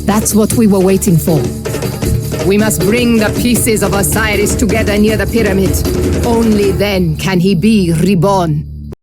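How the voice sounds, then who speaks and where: A woman's voice: medium pitch, measured pace, lightly acted. An actress playing a commanding, defiant warrior woman in a video game cutscene, stern and assured. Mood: calm, assured. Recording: music behind the voice.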